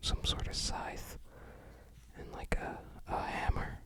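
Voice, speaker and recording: This is a soft, breath-only whisper from an ASMR host. The delivery is casual and musing, like unhurried unboxing commentary. Remarks come out tentatively, as quiet thinking aloud.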